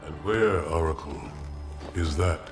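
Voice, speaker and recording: A man voicing a proud alien warrior commander who speaks in a measured, solemn way. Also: a bass voice, music in the background. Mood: grave.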